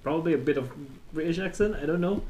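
A young man from China hosting a relaxed video chat, talking in a laid-back way with lots of fillers like "um" and "like.". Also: fairly high pitch, quick pace, a little hiss or hum. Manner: laid-back, filler-heavy, chuckles often. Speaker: host.

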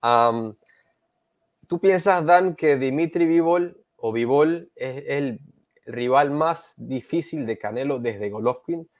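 A man co-hosting a boxing interview, summarizing the guest's answers and asking questions in two languages. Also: medium pitch. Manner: summarizing, brisk. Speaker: co-host. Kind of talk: bilingual interview, translating.

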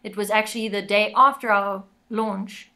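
Woman, band singer, high crisp bright voice, quick eager talk. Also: steady tone. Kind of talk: casual interview chat.

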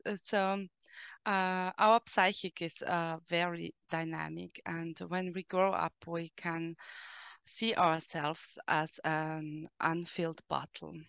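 A woman from Austria with an Austrian German accent, answering interview questions slowly and hesitantly, with frequent fillers, explaining her coaching work. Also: lowish voice, vocal fry, fairly bright tone, clean tone, dry recording. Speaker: guest, coach who helps children and adults.

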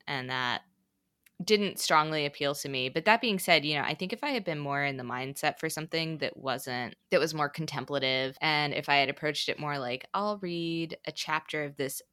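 A woman co-hosting a book podcast, talking in a measured, hedging way with frequent fillers. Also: deep voice, sharp tone, clear, resonant tone, no echo, studio quality. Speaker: podcast co-host. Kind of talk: casual book discussion.

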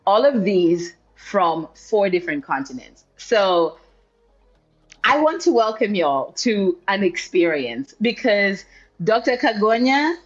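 A woman from the Democratic Republic of the Congo hosts a podcast with a lower voice and a playful, expressive delivery. She throws in slangy asides as she introduces her guest. The recording sounds dull and lo-fi. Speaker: podcast co-host. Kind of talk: casual podcast interview.

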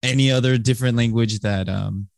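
A man hosting a podcast interview, asking questions in a relaxed, conversational way. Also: medium pitch, a little fast, vocal fry, no echo, clean studio sound. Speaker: podcast host.

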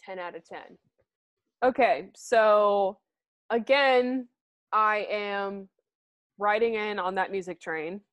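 A woman's voice: mid-range voice, takes it slowly, long pauses, fairly bright tone, dry recording. Woman, podcast co-host, casual rambling chat, close dry mic. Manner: chatty, rambling with fillers and laughs.

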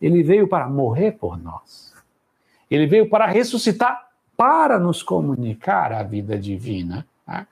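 A man's voice: a little high, huge pitch swings, measured pace, creaky, a little mellow, breathy edge. A man from São teaching a Bible study lecture, explaining in a conversational way with frequent tag questions and restarts.

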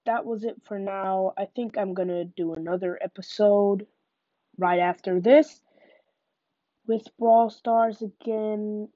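Slow-talking young woman with a cold. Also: mid-range voice, dry recording, highs cut off. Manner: chatty, narrates each move. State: nasal from a cold. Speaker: gaming podcaster. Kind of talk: live gameplay commentary.

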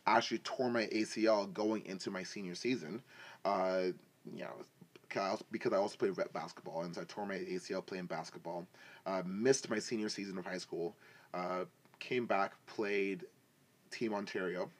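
A man's voice: low-pitched, fairly even intonation, normal speed. A man in his twenties from Africa and Canada, telling his story in casual, bantering, slangy conversation. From West and East Africa, then Canada. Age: 20s. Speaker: football player telling their story as a podcast guest. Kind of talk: casual chat, personal storytelling.

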